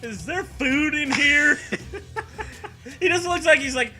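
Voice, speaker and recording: A man's voice: very high-pitched, slow, pushed and bright, soft background music. A man co-hosting with casual, crude banter and silly joking interjections. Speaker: co-host.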